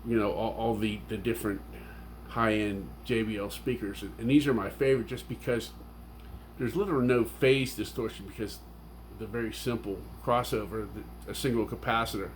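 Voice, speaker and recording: A man's voice: on the low side, steady tone, normal speed, a little hiss or hum. A man giving a casual, unscripted, rambling and technical review of his audio gear with enthusiasm.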